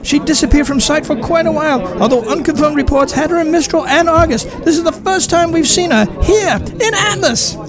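A man's voice: extremely high pitch, expressive, fairly quick, hardly any pauses, music in the background. A man voicing a fawning, gossipy male society reporter covering a gala in a fan audio drama. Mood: gushing.

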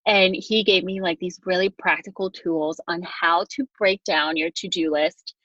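A woman's voice: on the low side, fairly even intonation, normal speed, a bit bright, no echo, lo-fi sound. A woman in her thirties from Venezuela, a podcast guest telling her story casually with plenty of fillers and restarts. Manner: chatty, many fillers and restarts. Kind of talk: casual conversation, personal story.

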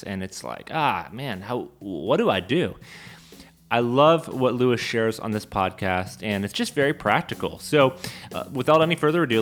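A man's voice: fairly low pitch, a bit bright. A man hosting a podcast, introducing his guest with upbeat enthusiasm. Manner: enthusiastic, with fillers and restarts. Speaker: podcast host. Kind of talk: casual interview hosting.

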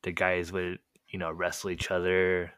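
Young man, very low voice, dry close sound, laid-back rambling storyteller, lots of filler words, first language Korean. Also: moderate pace, clear, resonant tone, no echo. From United States. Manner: relaxed, rambling, heavy use of "like" and "um" fillers. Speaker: podcast guest telling his life story. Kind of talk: casual storytelling chat.

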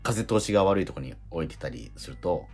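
Animated man rambling through a story. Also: deep voice, fairly quick, slightly rough, soft background music. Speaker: radio show co-host. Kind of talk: casual radio chat. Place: radio studio.

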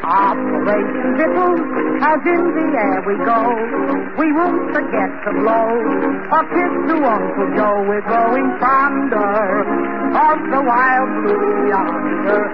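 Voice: mid-range voice, expressive, slow, loud music behind the voice. A man singing a jaunty 1940s-style military novelty song. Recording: muffled old radio recording. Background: musical accompaniment.

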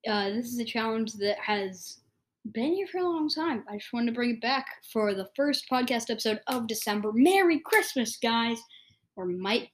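A woman with a fairly high voice hosts a casual gaming podcast. She talks informally, thinking aloud and reacting in short, blurted phrases as she plays a guessing challenge. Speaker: host of a podcast.

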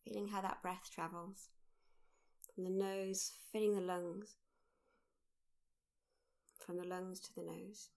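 Creaky-voiced woman guiding meditation. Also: lowish voice, level tone. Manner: gentle, unhurried, pausing between short phrases. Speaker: meditation guide, workshop leader. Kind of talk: guided mindfulness meditation.

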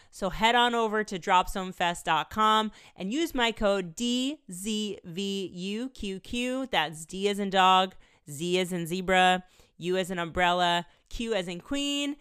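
A woman's voice: medium pitch, fairly bright tone, smooth. Slightly congested woman podcast host. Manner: chatty, upbeat, laughs between phrases. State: congested, recovering from a cold. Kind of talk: casual podcast chat and solo outro. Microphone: studio microphone.

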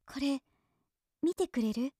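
A woman acting an earnest, gentle teenage girl who paints, speaking softly and sincerely in a Japanese radio drama. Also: high voice, slow, no room sound. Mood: nervous, apologetic. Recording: studio drama with music underneath.

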